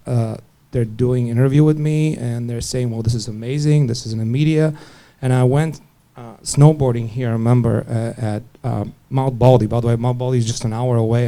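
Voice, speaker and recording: Gravelly dark-voiced man telling a story. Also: mid-range voice. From Bulgaria. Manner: halting, many restarts and fillers. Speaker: physician panelist. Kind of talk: panel discussion storytelling.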